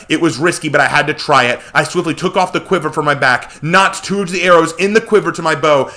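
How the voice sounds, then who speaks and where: A man's voice: mid-range voice, bright, forceful tone. A man reading a fantasy story aloud as dramatic, intense scripted narration. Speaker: story reader.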